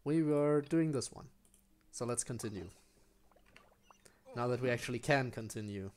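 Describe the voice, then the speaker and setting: A man's voice: medium pitch, moderate pace, darkish tone. A man giving casual livestream commentary as a game streamer, explaining things in a hesitant, rambling way. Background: faint music.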